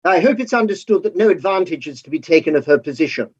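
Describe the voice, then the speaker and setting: An earnest, principled gentleman played by a man in a stage reading. The voice is fairly high and husky, formal and careful, on a dull recording. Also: animated, quick pace. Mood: earnest, courteous. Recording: dull, muffled lo-fi sound.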